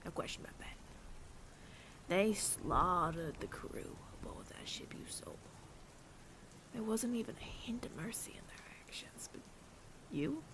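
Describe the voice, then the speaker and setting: A man playing a weary older android bounty hunter with reluctant mercy in an intimate audio roleplay, breathy and often near-whispered, deliberate and wry. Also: high voice, lively intonation, low-quality recording. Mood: softening, grave.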